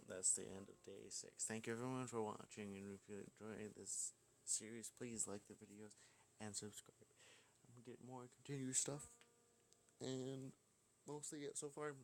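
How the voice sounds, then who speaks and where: A man's voice: on the low side, a little hiss or hum. A young man in casual let's play style, reading a story aloud and adding informal asides, sometimes stumbling over words. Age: young adult. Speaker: gaming video host. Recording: music behind the voice. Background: music.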